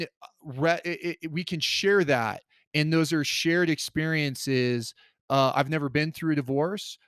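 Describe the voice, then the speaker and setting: A man hosting a podcast, asking questions in a halting way with many restarts. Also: medium pitch, clear, resonant tone, no echo, clean studio sound. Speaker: podcast host. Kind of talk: interview questions.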